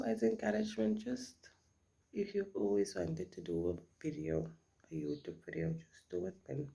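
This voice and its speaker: Husky South African woman, gravelly chat. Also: lowish voice, fairly bright tone. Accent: South African English. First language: Afrikaans. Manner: chatty, often drops to a whisper. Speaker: beauty vlogger. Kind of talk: casual makeup chit-chat.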